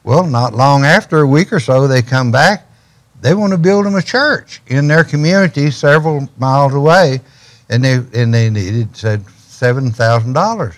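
A man's voice: medium pitch, lively intonation, normal speed. An older man, a ministry guest on a TV studio set, telling stories in a folksy way and building to urgent questions. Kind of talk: storytelling in a studio interview.

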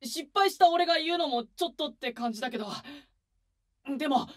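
A man playing an excitable, earnest young male idol in a voice drama, with a boyish, eager delivery. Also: very high-pitched, fairly quick. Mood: excited. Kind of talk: Japanese voice drama acting.

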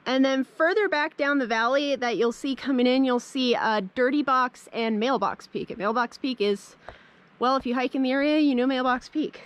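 A woman's voice: sits high, normal speed, dull audio. A young woman vlogging on a hike, chatting with enthusiasm and thinking aloud beside a snowy mountain lake, filmed on a handheld camera. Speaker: hiking vlogger. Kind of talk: casual on-trail narration. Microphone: handheld camera at arm's length.

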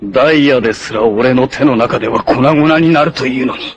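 An actor playing an arrogant, obsessive warlord villain in a 1980s anime, haughty, menacing and theatrical in delivery. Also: male voice, mid-range voice, moderate pace, muffled recording, close to an everyday voice. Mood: furious, shouting. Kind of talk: dramatic 1980s anime voice acting.

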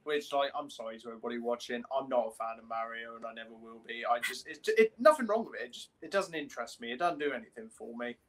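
A man's voice: mid-range voice, quick pace, few pauses, fairly bright tone, rough recording. A young man chatting casually as a podcast co-host, recorded in a room on a video call. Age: young adult. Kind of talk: casual chat.

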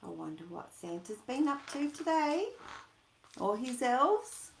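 Slow-talking older woman storyteller, roomy sound. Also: sits high, big pitch swings, a little hiss or hum. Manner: slow, sing-song, questioning, as to small children. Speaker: storyteller for children. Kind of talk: read-aloud and chat addressed to young children. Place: small indoor room at home. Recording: roomy, echoey home webcam sound.

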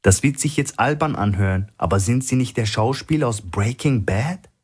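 A man voicing a bold, quick-witted woman on a covert mission in a thriller audiobook, with an urgent, persuasive manner. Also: medium pitch, animated, breathy edge, voice pushed up. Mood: gushing, coaxing.

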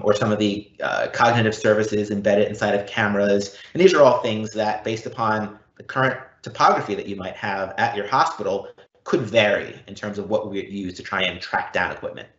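A man with a fairly low voice explains technical material as the presenter of an online Q&A. The audio is roomy and somewhat dull, like call audio from a reverberant room. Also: steady tone, moderate pace, a bit bright. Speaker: presenter answering audience questions. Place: indoor room, live online event.

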